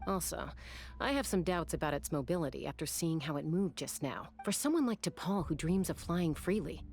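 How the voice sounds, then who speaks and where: Low-voiced, self-assured gunslinger woman. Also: expressive, darkish tone. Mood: assured, analytical. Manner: composed, matter-of-fact, deliberate. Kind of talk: video game voice acting.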